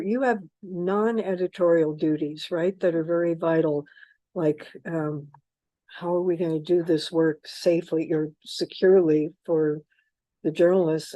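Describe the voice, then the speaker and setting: A woman moderating a live panel discussion, speaking informally in halting phrases with asides. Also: fairly low pitch, warm tone, no echo, poor audio. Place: live panel event at a university.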